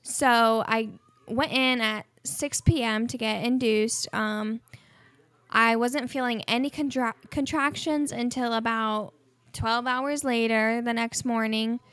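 A woman's voice: fairly high pitch, steady tone, slow pace, a bit bright, dry recording. A woman in her early twenties co-hosting a casual podcast, telling a story candidly with bits of laughter. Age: 20s. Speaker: podcast co-host. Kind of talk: casual chat.

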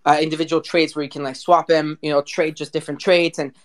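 A man's voice: fairly high pitch, level tone, fast. Informal, rambling male chat host. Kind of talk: casual live chat hosting.

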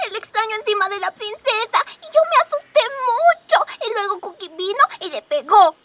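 A woman playing an excitable young maid to a princess in a comic radio soap, shrill and dramatic. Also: normal speed, distant mic. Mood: frightened, tattling.

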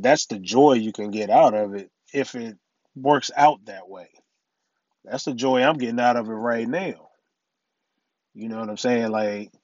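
A middle-aged man hosting his own podcast in a casual, rambling way, full of "you know" and "man", his voice a little raspy from allergies. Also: medium pitch, darkish tone, no echo, muffled recording. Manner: laid-back, rambling, many "you know" and "man" fillers. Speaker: podcast host. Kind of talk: casual solo podcast talk.

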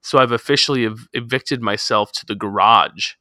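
A man in his thirties rambles through a laid-back chat, joking about being lazy and cheap. His tone is bright and forceful, and the sound is dry and close even though he records in his garage at home. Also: medium pitch, moderate pace, dry recording. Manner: rambling, self-deprecating, chatty. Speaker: podcast co-host. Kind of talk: casual chat.

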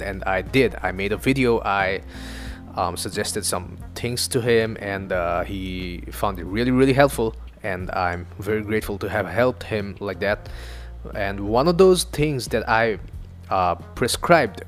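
A young man from Northeast India whose first language is Assamese, hosting his own podcast in a chatty, loose monologue full of swearing and self-mocking asides. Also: on the low side. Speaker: podcast host. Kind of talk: casual solo podcast monologue. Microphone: home studio mic.

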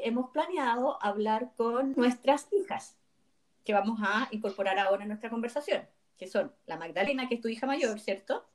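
Chatty woman, podcast co-host. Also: on the high side. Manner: chatty, laughs often. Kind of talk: casual podcast chat.

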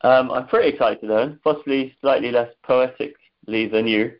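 A man with an Irish accent from Dublin, a podcast guest with a measured, dry manner. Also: medium pitch, lo-fi sound. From Ireland, Dublin. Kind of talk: casual podcast chat.

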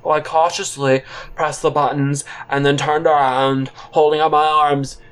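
A man's voice: on the high side, level tone, a little slow, a bit bright, clean tone. A man telling a comic first-person story in an audio drama, with a hesitant, theatrical delivery. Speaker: narrator and counter worker character.

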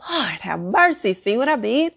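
A woman's voice: a little high, very animated, moderate pace, gravelly, fairly bright tone, husky. A woman hosting a spiritual podcast, sounding nasal from a head cold, speaking earnestly and encouragingly with the odd "um". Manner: earnest and encouraging, with filler ums. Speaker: podcast host. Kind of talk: spiritual astrology podcast talk. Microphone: solo audio podcast recording.

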